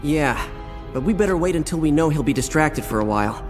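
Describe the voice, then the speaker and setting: Actor as teen boy hero, animated swooping delivery, decisive, teasing, game cutscene, faint music. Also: mid-range voice, a little fast, natural voice. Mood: matter-of-fact. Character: confident, teasing teenage boy hero leading his team.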